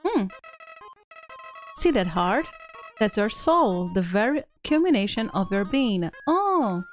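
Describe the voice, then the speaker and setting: A woman's voice: medium pitch, big pitch swings, slow. A woman hosting a casual live game stream, playful and exclamatory, chatting with viewers and reading text aloud. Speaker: streamer, video game host. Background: faint video game music.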